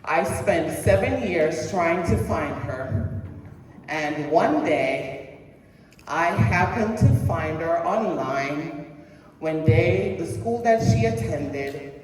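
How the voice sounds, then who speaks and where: A woman's voice: deep voice, expressive, darkish tone, a little hiss or hum. A woman in middle age delivers a personal motivational talk, taking her time over each phrase. She turns to the listeners with questions now and then. Her voice rings through a big reverberant hall, caught from far off. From Jamaica. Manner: slow, deliberate, asks the audience questions. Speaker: presentation speaker. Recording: echoey hall heard from a distance.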